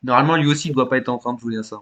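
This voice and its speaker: Fast-talking man in casual gamer chat. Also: medium pitch, fairly bright tone. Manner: slangy, sweary. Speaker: video channel host. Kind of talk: casual voice-chat banter about gaming.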